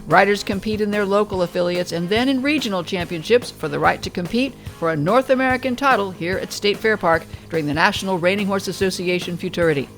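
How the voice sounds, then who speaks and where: A show host reads polished broadcast narration, even and smooth like an announcer. His pitch sits right in the middle. Soft music plays quietly underneath.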